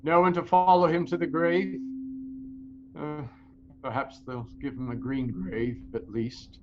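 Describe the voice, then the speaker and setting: An actor playing a repentant old miser in an audio play, halting and stammering with fervent feeling. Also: male voice, a little high, steady tone, muffled recording, close to an everyday voice. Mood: troubled. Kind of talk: theatrical audio-play acting. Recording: noisy audio-play recording.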